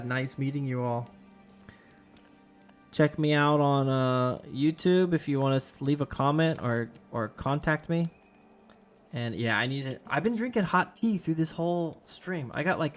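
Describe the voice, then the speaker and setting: A young man, hoarse and losing his voice, chatting casually with viewers while streaming a game. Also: on the high side. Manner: chatty, talks to viewers by name. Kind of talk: casual live-stream chat.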